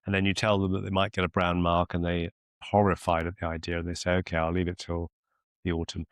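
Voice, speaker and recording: A man's voice: deep voice, monotone, fast, smooth, no echo, studio quality. A middle-aged man mentoring in a conversational, reassuring, explanatory way. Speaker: medical mentor.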